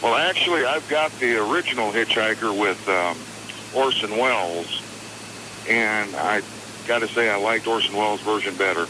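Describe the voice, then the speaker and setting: A man in his forties calling a radio show, chatting casually in a hesitant, halting way. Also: on the low side. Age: 40s. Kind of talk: casual phone-in chat. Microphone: telephone. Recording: noisy phone call on radio.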